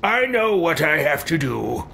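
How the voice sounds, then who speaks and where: A stern old game adventurer with a shaky British accent, put on by a male player. He speaks brusquely and deliberately with a very lively pitch. Noise is clear behind him. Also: full character voice. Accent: British, inconsistent. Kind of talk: role-played game dialogue. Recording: noisy game ambience behind voice.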